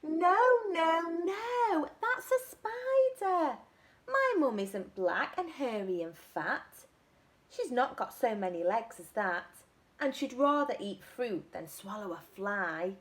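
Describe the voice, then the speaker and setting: A woman voicing an anxious little monkey searching for its mum, reading rhymes in a sing-song way with high cries of protest. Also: lively intonation, slow. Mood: fretful. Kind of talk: storybook read-aloud.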